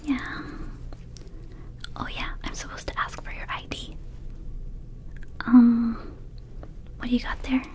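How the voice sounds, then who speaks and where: A woman voicing a friendly, scatterbrained young vampire shop clerk in a soft breathy whisper, rambling casually and hesitantly in an ASMR roleplay. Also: fairly high pitch, flat delivery, slow pace, pauses often. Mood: airy, self-assured. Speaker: ASMR performer.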